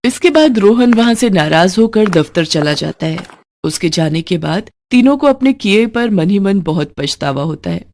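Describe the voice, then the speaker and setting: A woman's voice: mid-range voice, lively intonation, normal speed, a little mellow. Woman narrating a scripted story.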